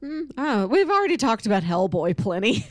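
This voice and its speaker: A woman's voice: on the high side, big pitch swings, a little fast, no room sound, studio quality. A woman co-hosting in casual chat, telling things in an informal, chatty way. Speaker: podcast co-host. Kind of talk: casual chat recommending shows.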